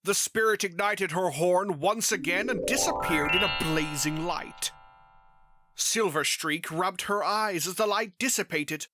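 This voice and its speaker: A narrator reads a scripted story in a voice of middling pitch. The delivery is that of prose read aloud. Music plays clearly behind the words. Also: measured pace.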